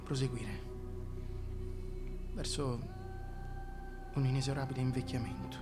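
An actor playing a brooding, murderous king in a theatrical monologue, speaking quietly and deliberately in broken phrases. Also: medium pitch, steady tone, loud music behind the voice, natural voice. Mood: brooding, self-justifying. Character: brooding murderous king coldly justifying killing children.

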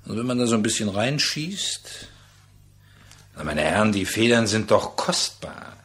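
An actor in a German radio play playing a pushy, jovial, slippery trader who wheedles in a chatty, condescending way. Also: male voice, lowish voice, very animated, a little slow, a bit bright. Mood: wheedling.

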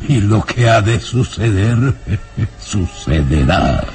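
A man's voice: on the low side, expressive. An actor playing a sinister, scheming middle-aged baron in a melodramatic radio serial, imperious and gloating, drawing out his words. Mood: menacing, gloating. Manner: imperious, slow gloating delivery with drawn-out words. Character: sinister scheming baron, menacing, gloating father and castle lord. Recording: noisy old radio drama recording.

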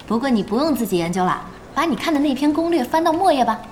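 A young woman playing a spirited, bossy gamer in an audio drama, brisk and mocking in tone. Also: high-pitched, very animated, darkish tone, a little hiss or hum. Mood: teasing. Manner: brisk, mocking, big-sisterly.

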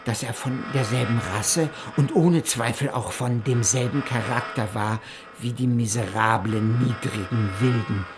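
A man giving measured, formal narration of a journal in a literary radio play. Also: mid-range voice, music in the background. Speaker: narrator reading an explorer's journal.

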